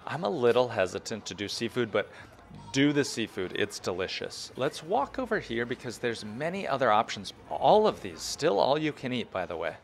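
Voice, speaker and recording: A man's voice: mid-range voice, sing-song, moderate pace, vocal fry. An enthusiastic, chatty man in his thirties or forties, giving a casual guided food review as a vlogger.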